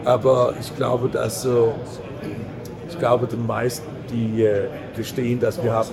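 Man in halting German, crowd behind. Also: medium pitch, level tone, creaky. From Belgium. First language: not German. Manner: halting non-native German, many fillers and restarts. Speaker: podcast guest, editor of a print-industry film channel. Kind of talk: casual interview chat.

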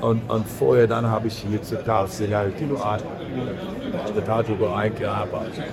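A man from Belgium, a podcast guest who speaks halting with many fillers and restarts. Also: on the low side, flat delivery, creaky. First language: not German. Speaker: podcast guest, editor of a print-industry film channel. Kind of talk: casual interview chat. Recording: crowd noise behind.

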